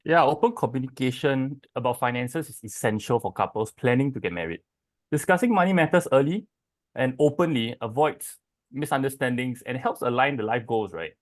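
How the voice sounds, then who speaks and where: A man giving casual, lively comments as a speaker on a webinar. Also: medium pitch, fairly quick, no echo.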